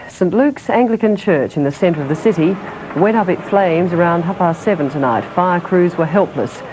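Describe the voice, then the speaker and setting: Mellow-voiced woman reading the news. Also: on the low side, low-quality recording. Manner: measured, even delivery. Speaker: news presenter. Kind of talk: read news bulletin. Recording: old broadcast tape with faint hiss.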